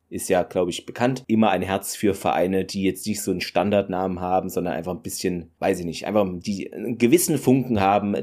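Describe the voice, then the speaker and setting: A man's voice: lowish voice, darkish tone. A man hosting a solo football podcast, talking in a loose, rambling way with many fillers and enthusiastic asides. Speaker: podcast host.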